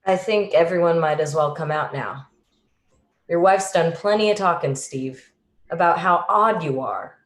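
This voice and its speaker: A woman's voice: lowish voice, a little slow, natural voice. A woman playing a cool, insinuating neighbor in a radio drama, recorded in a roomy home space. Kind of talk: radio play acting.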